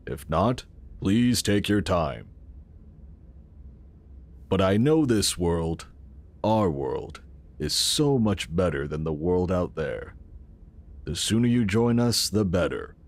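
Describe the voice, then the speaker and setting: Casting: courteous, persuasive sci-fi founder, low voice with very lively intonation. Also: male voice, slow, pauses often, full character voice. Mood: courteous, reassuring. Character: assured male founder of a futuristic secret city. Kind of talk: audio drama acting.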